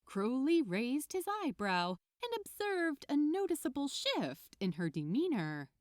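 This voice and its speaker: Female story narrator, very animated intonation, unhurried pace, expressive scripted read. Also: high-pitched, no room sound, studio quality. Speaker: fan fiction narrator.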